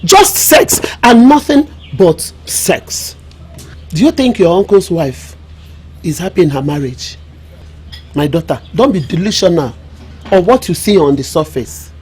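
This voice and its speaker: A woman's voice: fairly low pitch, big pitch swings, a little slow, a little mellow, breathy edge, faint music behind, heavily acted. An actress playing a middle-aged village mother with a Nigerian Igbo accent, giving frank, admonishing counsel in a film drama. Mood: knowing. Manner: proverbial, admonishing, emphatic. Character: worldly-wise village mother counselling her daughter, frank and knowing.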